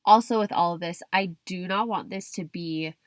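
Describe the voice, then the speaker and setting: A young woman with a low voice hosts her podcast, stuffy and hoarse from a cold. She talks in a chatty, rambling way, often restarting and hedging. The sound is dry and close, with no room around it. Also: normal speed, no echo. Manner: chatty, restarts and hedges with kind of. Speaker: podcast host. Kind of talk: solo podcast talk.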